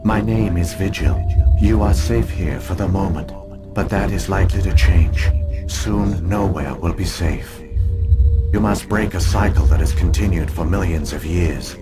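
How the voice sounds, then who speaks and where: An actor playing an ancient alien archive program that speaks in a measured, grave, formal way. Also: male voice, low-pitched. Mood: solemn. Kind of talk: video game voice acting. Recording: music playing behind.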